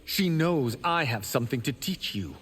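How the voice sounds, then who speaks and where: A man's voice: mid-range voice, animated, moderate pace, fairly bright tone, natural voice. An actor playing a cocky, boastful young male martial arts fighter in video game dialogue. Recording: reverberant hall, background ambience noise.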